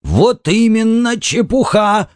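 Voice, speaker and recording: A man's voice: fairly low pitch, big pitch swings, measured pace, no room sound. A man playing an irritable, demanding, jealous husband in a dramatised audiobook. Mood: angry, interrogating. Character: jealous, stern, domineering Martian husband.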